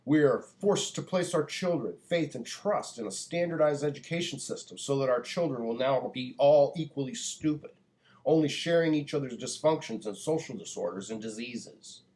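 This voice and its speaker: An American man speaks as if giving a public address. His delivery is deliberate and declarative, with an earnest, preachy tone suited to a prepared monologue. His voice sits at a middling pitch. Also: a little mellow. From United States.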